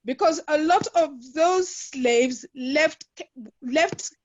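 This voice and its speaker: A woman's voice: sits high, drawn-out words, darkish tone. A 69-year-old woman from London and West Africa, speaking earnestly and emphatically, repeating phrases as she reflects in an online discussion. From London-born, grew up in West Africa. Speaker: webinar participant. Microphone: video call. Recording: dull lo-fi video call audio.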